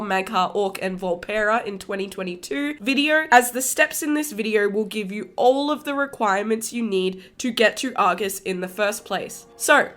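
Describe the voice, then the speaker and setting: A woman's voice: fairly high pitch, fairly bright tone. Congested woman narrating a game guide. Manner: earnest, explains terms step by step. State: congested from a cold. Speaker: gaming guide YouTuber.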